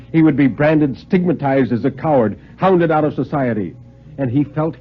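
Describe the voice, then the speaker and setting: A man reads in a theatrical 1950s radio manner, with precise diction and widely swinging pitch. The sound is a narrow, muffled vintage recording with faint music. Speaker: dramatic reader on a radio show. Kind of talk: 1950s dramatic story reading.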